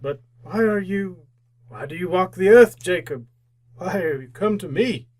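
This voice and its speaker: A man's voice: high voice, lively intonation, measured pace. A man playing a miserly, cantankerous old businessman in an old-time radio drama, with a grumbling, theatrical, sputtering delivery. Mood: fearful, pleading.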